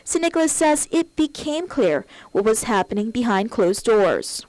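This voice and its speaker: A woman with a fairly high voice narrates a scripted television news report. She speaks as a reporter presenting a story to viewers. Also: big pitch swings, normal speed, a little mellow.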